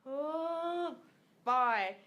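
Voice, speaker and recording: A woman playing a hot, thirsty woman in an amateur scripted radio commercial, speaking deliberately. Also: sits high, expressive, a bit bright, heavily acted. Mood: stagey, sweltering.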